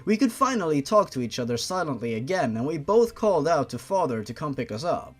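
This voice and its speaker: A man's voice: on the high side, very animated, fairly quick, soft background music. A man reading a story aloud like a sarcastic host, with an exasperated, mocking edge. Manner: sarcastic, exasperated, profane asides.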